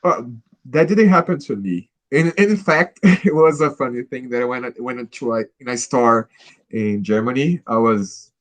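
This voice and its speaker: A man's voice: mid-range voice, measured pace, no echo. A man with a Brazilian Portuguese accent chatting in English at a language club, hesitant and restarting often. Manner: hesitant, restarts often, laughs while telling stories. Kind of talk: casual conversation practice.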